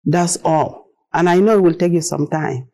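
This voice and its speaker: A woman's voice: fairly low pitch, fairly even intonation, moderate pace, dark tone. A middle-aged woman from South Sudan, a native Arabic and Dinka speaker with a South Sudanese accent, gives a personal presentation in earnest, plainly worded non-native English. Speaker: speaker telling her own story.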